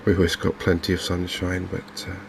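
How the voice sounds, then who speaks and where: An Englishman with a low voice gives softly spoken ASMR commentary on a game. His delivery is extremely even, gravelly at the edges, unhurried and a little hesitant. Faint noise sits behind him. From England. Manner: unhurried, hesitant, gently musing. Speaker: gaming video host. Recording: faint background noise from open windows and fans.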